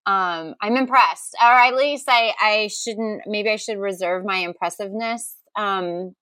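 A woman co-hosting a comedy podcast in casual chat, animated, giggly and self-deprecating. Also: medium pitch, measured pace, no echo. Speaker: podcast co-host.

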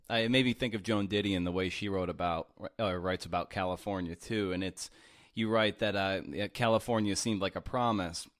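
A man's voice: low voice, fairly bright tone, no echo, clean studio sound. A man hosting a podcast interview, asking questions in an appreciative way with frequent fillers. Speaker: podcast host.

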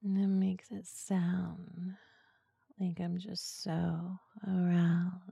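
A woman giving tips on making erotic ASMR audio in a slow, soft, sultry whisper. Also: lowish voice, level tone, vocal fry, slightly rough, no echo, studio quality. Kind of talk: whispered ASMR talk about voice technique.

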